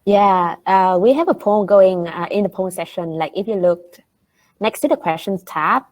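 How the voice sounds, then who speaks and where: Female webinar host, mid-pitched, scripted introductions. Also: normal speed, darkish tone. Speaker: webinar moderator. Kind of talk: event hosting.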